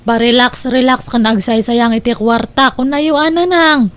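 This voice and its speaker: A woman's voice: fairly high pitch, steady tone, unhurried, over music, natural voice. A woman acting as an inquisitive, chatty young confidante in an audio drama, speaking with an Ilocano accent. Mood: gossipy.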